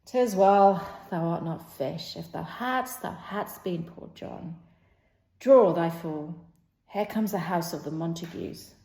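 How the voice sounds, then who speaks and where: A woman's voice: fairly low pitch, takes it slowly, creaky, warm tone. A woman playing a teasing, sceptical male servant in an old stage play, with a needling, declaimed delivery. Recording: roomy, echoing sound.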